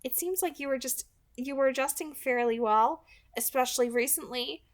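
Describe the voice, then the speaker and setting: A woman voicing a calm, protective young female vampire in an audiobook, reassuring and explanatory. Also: sits high, normal speed, pitched above the performer's own voice, natural voice. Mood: soft, explaining.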